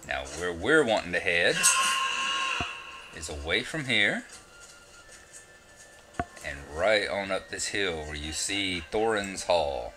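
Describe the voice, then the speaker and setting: Deep-voiced Southern man narrating gameplay. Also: takes it slowly, long pauses, over music. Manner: relaxed, rambling, self-mocking asides. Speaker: game walkthrough narrator. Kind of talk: casual gameplay commentary.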